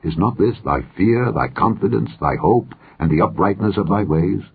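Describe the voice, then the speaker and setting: A man's voice: low voice, expressive, moderate pace, vocal fry, slightly rough, full character voice. A man reading the part of a stern friend who reproves a sufferer in a formal, admonishing, rhetorical way. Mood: reproving. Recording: tinny, phone-like narrow-band recording.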